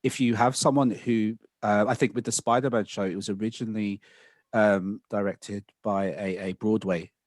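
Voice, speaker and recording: A man speaking as a panellist in a casual discussion, in a halting, wordy, roundabout way. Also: on the low side, steady tone, a little mellow, dry recording.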